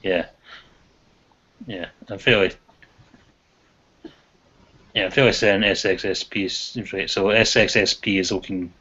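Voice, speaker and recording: A man's voice: low-pitched, fairly even intonation, measured pace, long pauses, bright, forceful tone, breathy edge. A man hosting a casual chat who talks in a thoughtful, reflective way. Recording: dull lo-fi audio.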